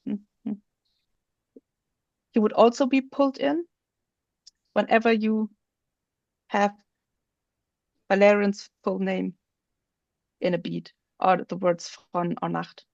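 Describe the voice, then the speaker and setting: A woman presenting on a video call, slowly walking through a software feature while she shows her screen. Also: mid-range voice, steady tone, vocal fry, dry recording, highs cut off. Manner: slow, explanatory, thinks aloud while showing her screen. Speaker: webinar presenter.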